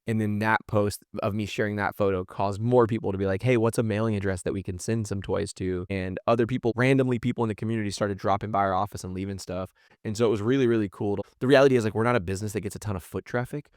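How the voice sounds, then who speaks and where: A man speaks as a podcast guest in an earnest, encouraging way. His delivery is quick and casual, and his clear, resonant voice sits on the low side, recorded dry and close. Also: steady tone, no echo.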